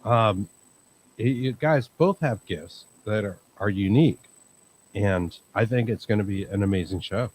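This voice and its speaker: Male host with a lowish, calm voice; unhurried and rambling, with plenty of fillers and slight background hiss. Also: poor audio. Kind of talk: casual livestream chat.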